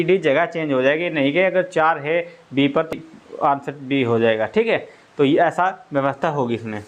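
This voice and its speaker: A man explaining exam news informally as a coach, repeating his points and often checking that listeners follow. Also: a little high.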